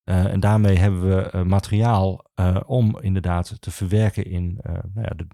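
A man speaking as a guest in an informal podcast interview, explaining his work as an archivist in halting phrases with frequent pauses. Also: low-pitched, flat delivery, gravelly, warm tone, dry recording, studio quality.

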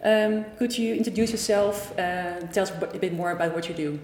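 A woman's voice: mid-range voice, fairly quick, a little mellow, a little hiss or hum. A woman host with a Dutch accent, interviewing guests, hesitating often with fillers and restarts. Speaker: podcast host. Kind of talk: interview hosting. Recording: echoey conference room.

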